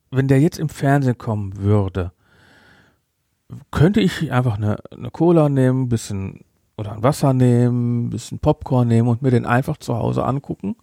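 Man with a gravelly, mellow voice. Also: medium pitch, dry recording, clean studio sound. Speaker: podcast host. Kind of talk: casual review chat.

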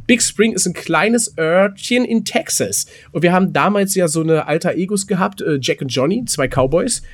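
A man's voice: sits high, expressive, normal speed. A man in casual podcast chat, rambling and self-deprecating, with coarse slang. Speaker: podcast co-host. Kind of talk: casual chat, recounting his weekend.

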